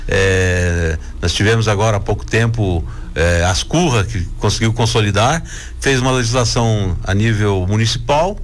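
A man's voice: on the low side, normal speed, a little hiss or hum. Hesitant male club president explaining plans. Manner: hesitant, many fillers and restarts, explanatory. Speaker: president of an Italian cultural club. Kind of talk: radio interview guest.

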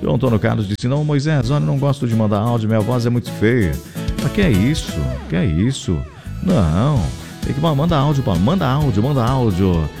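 A man's voice: mid-range voice, expressive, fast, vocal fry. A man with a southern Brazilian accent hosting live radio in a chatty, effusive way, often repeating his phrases. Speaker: radio host. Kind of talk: live radio chat, shout-outs and ad reads. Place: radio studio. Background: music under the voice.

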